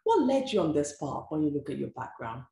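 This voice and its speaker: A woman's voice: low voice, very animated, brisk, creaky, warm tone. A woman hosting an interview show, talking effusively and asking rhetorical questions. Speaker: host. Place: room with sofa, indoors. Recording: echoey room with faint music.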